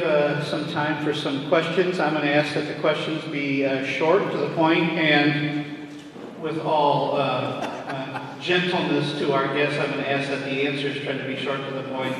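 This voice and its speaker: A man moderating a question session, giving polite, halting instructions. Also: a little high, level tone. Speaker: event moderator. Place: large echoing indoor hall. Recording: echoey hall, distant mic, noisy.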